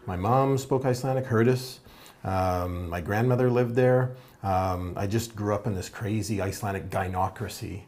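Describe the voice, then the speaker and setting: A man from Canada telling animated, wry stories about growing up, as an interview guest. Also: on the low side, normal speed. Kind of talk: casual storytelling.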